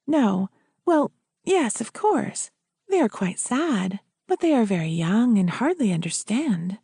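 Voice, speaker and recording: Woman playing a guarded, troubled widow, mellow voice. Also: fairly high pitch, very animated, slow, breathy edge, dry recording, studio quality, full character voice. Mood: hesitant.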